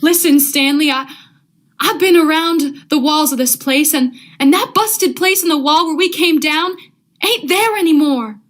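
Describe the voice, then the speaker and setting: A woman's voice: sits high, unhurried. A young woman acting an uneasy, grumbling male accomplice in vintage radio drama style, urgent and slangy. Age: young adult. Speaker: student actor.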